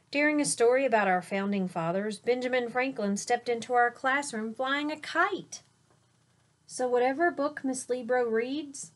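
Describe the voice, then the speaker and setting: A woman teacher reading a picture book to children, expressively, with explanatory asides along the way. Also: fairly high pitch. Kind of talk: children's storybook read-aloud with commentary.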